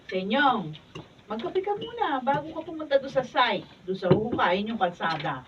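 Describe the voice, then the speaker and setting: A woman acting a practical, unruffled labourer's wife in a Filipino radio drama, brisk, down-to-earth and gently chiding. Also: on the high side, very animated, dull audio. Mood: attentive. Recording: noisy, distant camera-mic studio recording.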